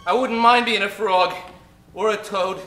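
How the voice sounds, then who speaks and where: A man's voice: high voice, steady tone, unhurried, fairly bright tone. A man playing a plain-spoken, wistful dying fellow in a comic old-time radio play. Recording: roomy stage recording with music behind.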